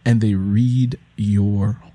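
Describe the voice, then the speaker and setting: A man's voice: lowish voice, fairly even intonation, extremely slow, mellow, no echo. A man interviewing as a fellow writer, explaining his thoughts in a reflective, conversational way and circling back over phrases.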